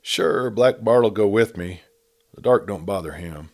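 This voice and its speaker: A young western drifter played by a male narrator speaks in a low, gentle drawl. He is rustic, half-wild and unemotional, with a quiet menace, as in a storybook western. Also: unhurried. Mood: gentle, earnest. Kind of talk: audiobook character voice, rustic western speech.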